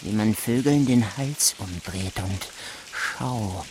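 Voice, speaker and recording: An actor playing an unhinged, menacing male gamekeeper in a German radio drama, with a halting, intense delivery that swings between whispers and outbursts. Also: lowish voice, background noise. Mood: obsessive, unhinged.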